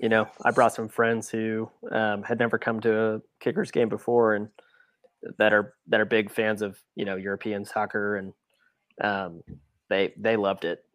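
A man's voice: lowish voice, level tone, normal speed, a bit bright, no room sound. A man co-hosting a casual sports podcast, talking in a laid-back, understated way.

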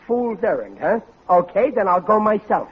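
A man's voice: high-pitched, big pitch swings, normal speed, slightly rough, exaggerated voice. An actor playing a blustering, thickheaded American husband and father who speaks in folksy bad grammar, in 1940s radio sitcom style. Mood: indignant. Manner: folksy, mangled grammar, indignant. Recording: dull, noisy old radio recording.

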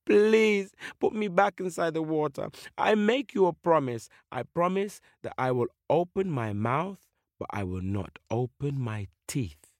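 A man voicing a big, freezing whale from a folktale, crying and pleading in drawn-out wails. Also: lively intonation, dry recording, clean studio sound. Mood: miserable pleading. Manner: drawn-out pleading with high wailing moans.

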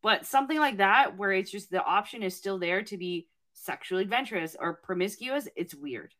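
A married woman who is a podcast guest, giving her opinion in casual chat with many pauses and ums. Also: mid-range voice, lively intonation, a bit bright.

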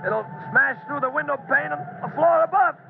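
Eager, high-pitched rookie fireman. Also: vocal fry, phone-quality sound. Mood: concentrated, determined. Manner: earnest, dutiful, brisk. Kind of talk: 1950s children's radio serial acting. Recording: noisy, thin old radio recording.

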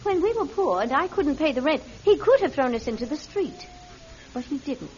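A middle-aged psychic mother with a very high voice. She is gentle, earnest and troubled, choosing proper words with some hesitation. It sounds like old-time radio drama, with a muffled, limited tone. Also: big pitch swings, normal speed. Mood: gently firm. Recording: dull vintage radio recording.

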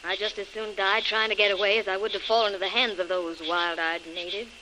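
A woman's voice: medium pitch, steady tone, fairly quick, sharp tone, close to an everyday voice. An actress playing a plucky, determined woman in danger, earnest and resolute, in an old-time radio adventure serial. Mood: grim resolve. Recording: noisy vintage radio recording.

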